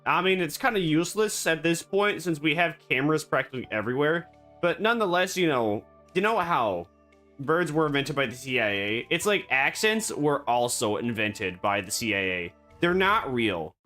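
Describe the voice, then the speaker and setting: A man streaming a game, rambling and joking in absurd deadpan banter. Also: a little high, normal speed, faint music behind. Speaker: VTuber streamer. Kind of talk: casual gaming stream chatter.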